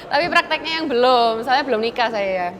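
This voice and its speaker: High-voiced young woman, Surabaya accent. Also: lively intonation, fairly quick, a bit bright. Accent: Surabaya Javanese. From Surabaya, East Java, Indonesia. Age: 20s. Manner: chatty storyteller, laughs easily. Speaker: guest, TikTok creator. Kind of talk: casual talk show chat.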